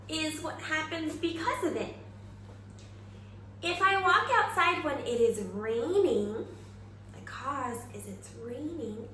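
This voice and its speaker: A woman teacher giving an expressive, exaggerated children's read-aloud and simple lesson, prompting listeners with questions. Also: high voice. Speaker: kindergarten teacher reading aloud. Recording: echoey room, faint background noise.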